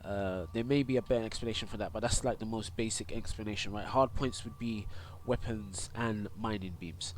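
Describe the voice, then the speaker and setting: A man's voice: lowish voice, steady tone, brisk, few pauses. A young man with a cold, congested, casually walking through a game tutorial full of fillers and restarts. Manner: rambling, many fillers and restarts. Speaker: gaming YouTuber and streamer.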